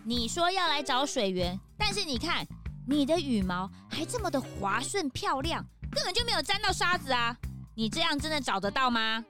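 A woman playing an eager, excitable police sidekick in a children's audio drama, speaking in a bouncy, exclamatory way. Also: high voice, normal speed, music in the background.

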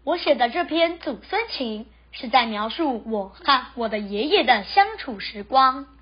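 Young schoolchild, animated intonation, husky edge, scripted reading, dull recording. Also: medium pitch, measured pace. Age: child, primary school pupil. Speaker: student essay author. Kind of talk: scripted personal account read aloud.

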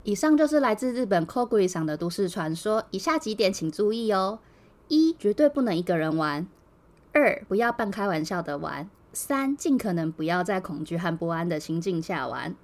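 A woman's voice: mid-range voice, sing-song, moderate pace. A woman podcast host reading out scripted advice point by point. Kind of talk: scripted explainer, reading a numbered list.

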